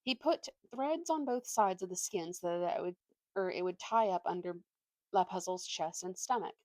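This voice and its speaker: A woman reading a story aloud with expressive narration and an informal manner, now and then stumbling over a word. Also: fairly low pitch, moderate pace, no echo. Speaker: storybook reader.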